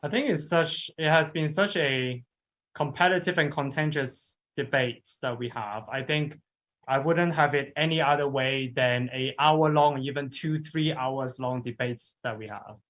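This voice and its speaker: A man's voice: mid-range voice, a little slow, fairly bright tone. A man making unscripted remarks as a debate panelist, rephrasing as he goes.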